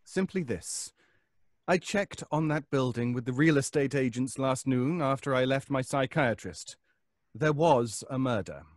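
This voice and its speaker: A man's voice: medium pitch, no echo, clean studio sound, lightly acted. An actor playing a haunted, sleepless, obsessive man, anxious and stammering, in old-time radio suspense style. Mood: brooding, intense. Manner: halting, stammering, pleading, melodramatically poetic.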